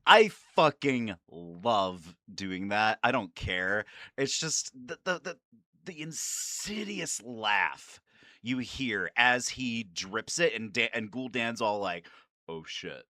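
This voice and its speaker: A man's voice: mid-range voice, expressive, slow pace, bright, forceful tone, dry recording, clean studio sound. A man giving casual, nerdy, enthusiastic fan commentary about games, swearing freely as he goes. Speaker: video creator and gamer.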